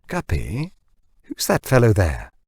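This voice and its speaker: A man voicing a blustery, wry audiobook gentleman, husky. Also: fairly low pitch, wildly swooping pitch, measured pace, dry recording, clean studio sound, exaggerated voice. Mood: suspicious. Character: glum, proud man forcing hearty bonhomie. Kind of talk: audiobook character dialogue.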